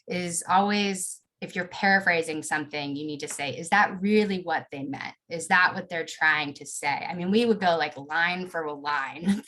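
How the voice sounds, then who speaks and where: Podcast producer, woman, low voice, measured panel talk with pauses. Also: fairly bright tone. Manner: practical, thinking aloud with pauses. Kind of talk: panel discussion.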